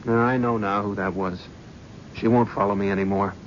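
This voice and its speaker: An actor playing a remorseful middle-aged talent agent in a 1970s radio drama, earnest and emotional. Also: male voice, lowish voice, fairly even intonation, brisk, natural voice. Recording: muffled old radio recording with hiss.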